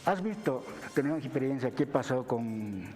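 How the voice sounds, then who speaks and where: A Bolivian man speaks as a university lecturer in an interview, in a mid-pitched voice. He explains didactically and often tags his phrases with short questions. The sound has a long hall-like reverb with faint music underneath. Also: animated, moderate pace, a little mellow. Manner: didactic, punctuates with tag questions. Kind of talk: explanatory television interview talk.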